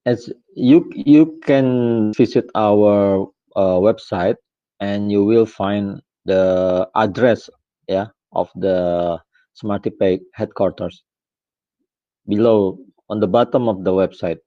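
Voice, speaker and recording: A man explaining things on a voice chat in a slow, halting way. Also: fairly low pitch, no echo, lo-fi sound. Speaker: project team member. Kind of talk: casual voice-chat Q&A.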